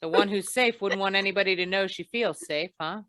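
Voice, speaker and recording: A woman's voice: mid-range voice, brisk, fairly bright tone, no room sound, natural voice. A woman playing a wry, hard-boiled insurance investigator in an old-time radio drama, with dry, tough delivery. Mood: cool, commanding.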